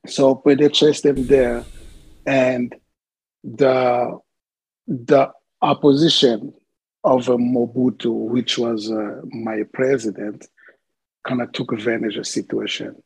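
Congo guy telling his story slow, lots of ums, gravelly husky voice, dry sound. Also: medium pitch, steady tone, dry recording, poor audio. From Democratic Republic of Congo. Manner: halting, many filler sounds and restarts. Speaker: guest. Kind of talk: podcast interview, telling his life story.

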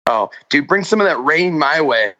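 A man's voice: fairly high pitch, expressive, bright, forceful tone. Rambling man, slightly muffled audio. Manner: rambling, halting, self-interrupting. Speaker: musician guest. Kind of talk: casual remote interview chat.

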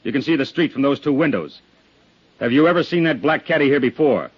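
An actor playing a tough, impatient police detective with a gruff, sardonic, badgering manner in a 1950s radio drama. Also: male voice, mid-range voice, expressive, brisk. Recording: dull, lo-fi 1950s radio recording.

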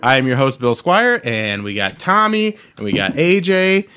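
A man's voice: mid-range voice, big pitch swings, normal speed, very noisy. A man hosting a podcast in casual chat, talking informally like an enthusiastic sports fan. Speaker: podcast host.

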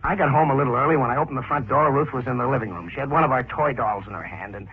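An actor playing an earnest, suspicious husband wrongly accused in a vintage radio crime drama, speaking in an emphatic, clipped way. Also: male voice, medium pitch, very fast, close to an everyday voice. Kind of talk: 1940s-50s radio drama acting. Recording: narrow, noisy old radio recording.

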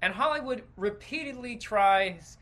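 A man's voice: high voice, lively intonation, measured pace, bright, forceful tone. A man hosting a podcast, delivering an exasperated, sarcastic, stream-of-consciousness rant with casual swearing. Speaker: podcast host.

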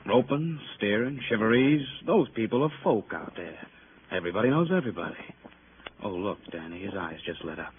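An actor playing a sardonic police detective who needles suspects in clipped, drawling phrases, in 1950s radio crime drama style. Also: male voice, fairly low pitch, lively intonation, a little fast, close to an everyday voice. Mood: sarcastic, needling. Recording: tinny, noisy old radio recording.

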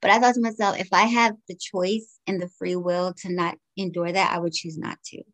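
A woman's voice: on the low side, fairly even intonation, moderate pace, fairly bright tone, poor audio. A woman in her forties from Guyana, raised in the United States, answering interview questions in a thoughtful, candid way. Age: 40s. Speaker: podcast guest.

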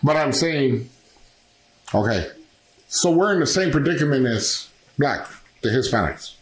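A man's voice: medium pitch, expressive, unhurried, reverberant, poor audio. A man co-hosting a podcast, pushing back on points in a blunt, slangy casual talk.

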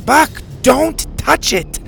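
Storybook boy hero, performed by a man: young, high, scared, excitable, urgent exclamations. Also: very slow, gravelly, soft background music, heavily acted. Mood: frightened, urgent. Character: frightened, excitable young boy on a dinosaur adventure.

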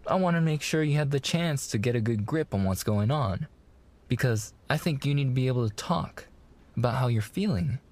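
A man's voice: medium pitch, animated, normal speed, darkish tone, close to an everyday voice. A young man playing a smitten, protective mafia fiancé in a boyfriend ASMR roleplay, with slangy, hesitant, reassuring wiseguy talk. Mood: sincere, protective.

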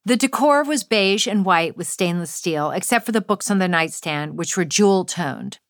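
A woman's voice: lowish voice, lively intonation, moderate pace, noisy background. A woman writer reading her own first-person essay aloud with wry, dry humor.

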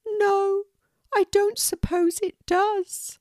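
A woman's voice: level tone, no echo, studio quality, heavily acted. A woman doing Moon, a heartbroken young pelican. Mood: pleading. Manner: slow, tearful, very high and soft. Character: sad young female pelican, heartbroken and lost.

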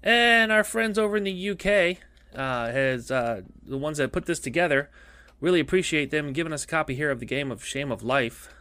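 Animated podcast host man, dry sound. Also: a little high, moderate pace, no echo. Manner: rambling, jokey, repeats himself. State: coughing, losing his voice. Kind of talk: casual podcast chat.

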